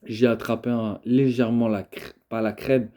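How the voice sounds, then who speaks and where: Young man, stuffy with a cold, very dark tone, hesitant, lots of fillers, casual video talk. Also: mid-range voice, level tone, normal speed. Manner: hesitant, many fillers and restarts. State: has a cold, coughing. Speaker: video creator. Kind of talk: casual monologue to camera.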